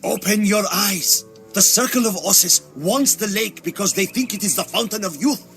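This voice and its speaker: A Turkish warrior in a game cutscene, earnest and defiant. His voice is fairly high, grave in manner, with faint music behind. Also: moderate pace, gravelly, a bit bright. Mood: urgent persuasion. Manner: grave, insistent. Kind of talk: video game cutscene acting.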